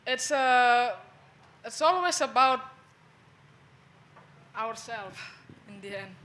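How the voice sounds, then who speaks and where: A woman's voice: a little high, lively intonation, slow, a bit bright. A woman from Bali with an Indonesian accent, a guest on a discussion panel, speaking hesitantly and searching for words in front of an audience. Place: event venue with an audience.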